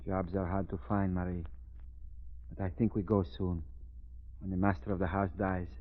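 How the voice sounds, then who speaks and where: A man acting as a fearful servant in an old radio thriller, deep-voiced and grimly resigned. He speaks with grave superstition on a tinny vintage recording. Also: flat delivery, moderate pace, lightly acted. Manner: grave, ominous, dramatic. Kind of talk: 1930s radio drama acting.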